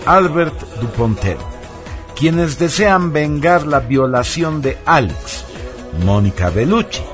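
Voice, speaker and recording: Slow, animated, higher-voiced man narrating. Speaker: radio presenter. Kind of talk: scripted film synopsis narration. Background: faint music.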